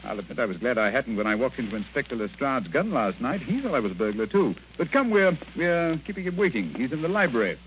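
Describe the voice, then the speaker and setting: An actor playing a self-assured, sardonic British gentleman detective in a radio drama, theatrical and crisply commanding. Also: mid-range voice, sing-song, quick pace, few pauses, close to an everyday voice. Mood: breezy. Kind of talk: mid-century radio drama acting. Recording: dull, noisy old radio recording.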